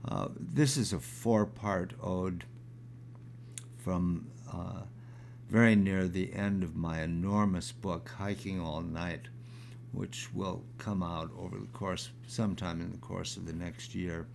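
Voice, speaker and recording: Poet, man in his 60s, deep and gravelly, unhurried reading of his own verse. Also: steady tone, darkish tone, a little hiss or hum. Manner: halting with fillers when talking, measured when reciting. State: crackly, gravelly voice.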